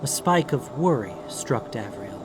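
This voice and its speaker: A man's voice: medium pitch, animated, measured pace, music in the background. A man reading scripted fantasy fiction as a dramatic audiobook narrator.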